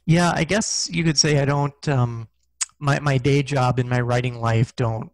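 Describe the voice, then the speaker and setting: A man's voice: mid-range voice, fairly even intonation, measured pace, dry recording. A middle-aged man talking casually as an interview guest, thoughtful and self-deprecating, on a headset mic. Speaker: guest, physicist and science fiction author. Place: small indoor room, video call.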